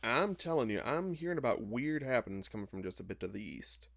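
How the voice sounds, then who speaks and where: A man playing a gossipy townsman trading rumours in an audio drama, hushed and conversational. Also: medium pitch, very animated, fairly quick, creaky. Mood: gossiping.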